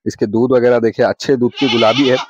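A man hosting a casual walkthrough of goats at an outdoor pen, asking quick questions and repeating the answers for his viewers. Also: mid-range voice, steady tone. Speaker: goat trader vlogger. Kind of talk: casual on-site livestock walkthrough. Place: outdoor rooftop goat pen. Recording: muffled phone audio with noise.

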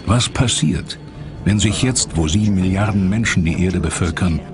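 Deep male documentary narrator over loud music. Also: moderate pace. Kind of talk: scripted dramatic documentary narration.

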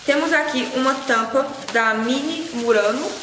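A woman's voice: high voice, normal speed. Young woman, echoey noisy room. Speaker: vlogger and kitchenware seller. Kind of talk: casual product showcase to camera. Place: small indoor room with shelves. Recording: echoey room with loud background noise.

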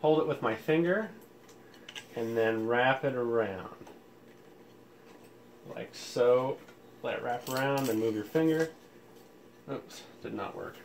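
Guy doing an informal how-to, medium pitched voice, explains step by step, noisy room sound. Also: takes it slowly, long pauses. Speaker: tutorial host. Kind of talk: casual how-to demonstration. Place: indoors, room with audio equipment. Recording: clear background room noise.

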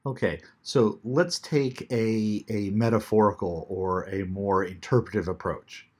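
A man in his fifties giving a recorded lecture from an armchair at home, thoughtful and unhurried with frequent ums, into a webcam. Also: on the low side, steady tone, a little mellow, slightly rough. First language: English. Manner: unhurried, thoughtful, many ums, reads poetry aloud slowly. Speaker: teacher. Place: small room at home, seated in armchair.

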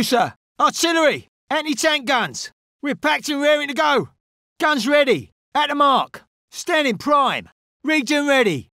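A brash, cheerful artillery gunner for a strategy war game, firing off chirpy replies. His voice is high and bright. The recording is dry and close. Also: clean tone, no echo, heavily acted. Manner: chirpy quick acknowledgements. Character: brash cheerful male British gunner, gung-ho. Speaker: artillery crew unit voice. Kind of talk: video game unit responses.

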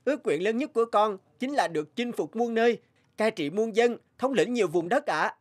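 An actor playing a proud, ambitious young warrior prince in a cartoon fairy tale, speaking in forceful, declamatory commands. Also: male voice, sits high, lively intonation, normal speed. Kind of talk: dubbed animated fairy tale acting. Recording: loud music under the voice.

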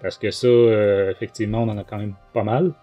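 A man with a Quebec French accent hosting a book podcast, giving his critique in a casual, halting way. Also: fairly low pitch, fairly even intonation, mellow, highs cut off, soft background music. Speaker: podcast host and book reviewer.

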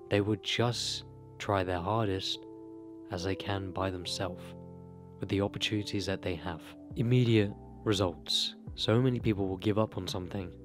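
A young man giving earnest, motivational self-improvement advice straight to camera, speaking close into a desk mic in a small bedroom. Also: sits low. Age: young adult. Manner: earnest, motivational, direct address. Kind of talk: talking-head advice monologue. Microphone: desk broadcast mic close to mouth.

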